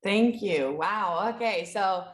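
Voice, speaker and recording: A woman's voice: medium pitch, takes it slowly, echoey room. A woman moderating a live conference panel, asking questions in a friendly, hesitant way.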